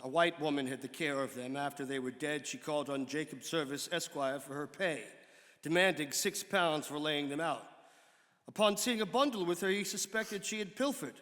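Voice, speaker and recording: A man with a slightly high voice reads archaic formal prose as a dramatic performance. His delivery is measured and declamatory. The sound carries the long reverb of a large hall. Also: fairly bright tone. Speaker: actor giving a dramatic reading. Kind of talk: dramatic reading of an 18th-century pamphlet.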